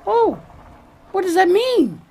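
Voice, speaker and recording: A woman voicing an excitable, childlike little lamb with an earnest, emphatic manner in a children's puppet show. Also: very high-pitched, slow pace, slight background noise, full character voice. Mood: curious, thankful. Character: excitable little lamb, easily upset, devoted to friend.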